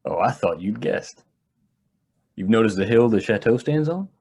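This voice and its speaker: A man acting a privileged young heir in a radio drama, polite, measured and matter-of-fact, with a husky voice. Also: fairly low pitch, animated, a little mellow, heavily acted. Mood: evasive, calm.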